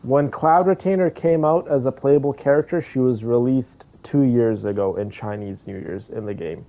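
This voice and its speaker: A man's voice: medium pitch, moderate pace, highs cut off. A man giving casual, rambling commentary on a video game playthrough, often hesitating as he reacts to it. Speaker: gaming streamer. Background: game music.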